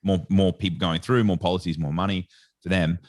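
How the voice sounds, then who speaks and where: A very deep Australian man chats as a podcast guest. His voice sits low and sounds close and dry, with no room around it. He rambles loosely through his story with plenty of casual filler. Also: steady tone, brisk, no echo. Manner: rambling storyteller, heavy on "like" and "sort of". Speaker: guest, sports nutritionist.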